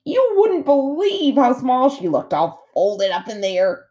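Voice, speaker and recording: A woman's voice: high-pitched, sing-song, takes it slowly, mellow, voice pushed up, full character voice. A woman voicing a big friendly female otter in a storybook, breezy, casual and sociable. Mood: chatty, apologetic.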